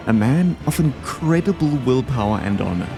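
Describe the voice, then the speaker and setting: A man's voice: mid-range voice, lively intonation, normal speed, vocal fry. A man giving enthusiastic, scripted video essay commentary, ranking game bosses. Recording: game music behind the voice. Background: music.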